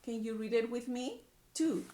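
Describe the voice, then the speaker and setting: A woman's voice: fairly high pitch, moderate pace, warm tone, a little hiss or hum. A woman teacher reading aloud to young children, using simple words, repetition and questions. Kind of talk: animated read-aloud lesson.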